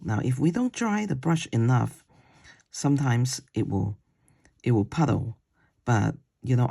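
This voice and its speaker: A man with a deep voice teaching a watercolor paint-along. He talks slowly while he paints and often checks in with "okay?". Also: vocal fry, warm tone, slightly rough. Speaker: watercolor instructor. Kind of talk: paint-along tutorial demo.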